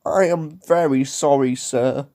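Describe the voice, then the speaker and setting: A man's voice: mid-range voice, animated, takes it slowly, a little mellow, pitched above the performer's own voice. A man voicing a proud, emotional and determined steam engine with a puffing, exclamatory manner for a storybook. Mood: sorry.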